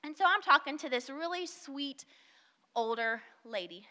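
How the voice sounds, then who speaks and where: A woman's voice: sits high, lively intonation, moderate pace, sharp tone, long reverb tail. A young woman with a Southern US accent, preaching an earnest, conversational sermon at a church service and reading scripture. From Southern United States. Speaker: guest speaker at a church service. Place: church sanctuary.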